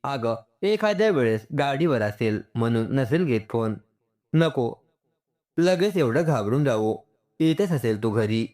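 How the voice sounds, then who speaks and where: A man voicing a caring, gently scolding mother-in-law in a narrated family story. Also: mid-range voice, lively intonation, unhurried, lightly acted. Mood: probing concern.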